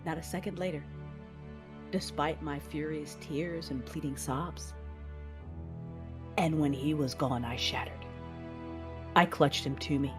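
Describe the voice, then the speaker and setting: A woman playing a grieving female Jedi and mother, narrating her own tragedy in an emotive, measured audio drama style. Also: low-pitched, fairly even intonation, over music. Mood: grief-stricken. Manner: emotive, measured storytelling with anguished dialogue.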